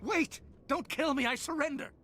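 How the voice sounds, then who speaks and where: High, panicky, cowardly crook. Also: male voice, moderate pace, bright, forceful tone. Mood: panicked, pleading. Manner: pleading, panicky. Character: cowardly male crime boss begging for his life. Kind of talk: video game voice acting.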